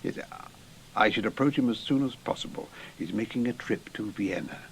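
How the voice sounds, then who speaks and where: An actor playing a worldly, sceptical, calculating English establishment man in a radio drama, measured, dry and authoritative. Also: lowish voice, creaky, a little hiss or hum. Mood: discreet, businesslike.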